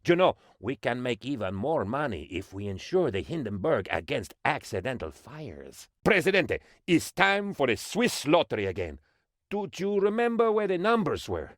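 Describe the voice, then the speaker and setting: An actor playing an excitable, sycophantic political aide to an island dictator in a comic video game, with a theatrical, exaggerated delivery. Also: male voice, medium pitch, normal speed, no echo, studio quality, lightly acted. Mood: scheming, eager. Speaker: adviser character briefing the player.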